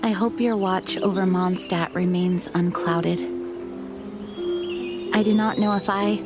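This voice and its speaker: A woman playing a noble, dutiful young knight commander in a video game, speaking formally and earnestly in measured sentences. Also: medium pitch, fairly even intonation, close to an everyday voice. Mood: solemn, grateful. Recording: music behind the voice.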